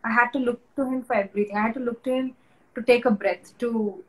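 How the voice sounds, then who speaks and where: A woman's voice: fairly high pitch, steady tone, fast, low-quality recording. Earnest young woman sharing her testimony. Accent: Indian English. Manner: earnest, emotional, candid, with restarts and soft laughs. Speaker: interview guest. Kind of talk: personal testimony in conversation.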